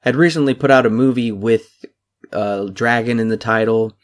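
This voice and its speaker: A man's voice: mid-range voice, normal speed. A young man co-hosting a podcast in casual chat, talking steadily and reeling off dates and figures. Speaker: podcast co-host.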